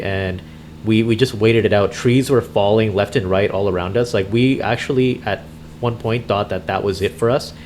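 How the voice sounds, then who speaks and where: A man with a fairly low voice talks casually, as if improvising a roleplay while gaming. He is halting and often repeats words as he thinks, with some noise in the background. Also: a little mellow. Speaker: gamer, video host roleplaying a truck driver.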